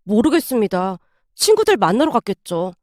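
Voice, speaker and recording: A woman's voice: mid-range voice, very animated, normal speed, darkish tone, no echo, voice pushed down, natural voice. A woman narrator acts a good-natured, weary husband in a domestic audio story. He speaks hesitantly and politely, always deferring, in a very dry recording. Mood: downcast, resigned.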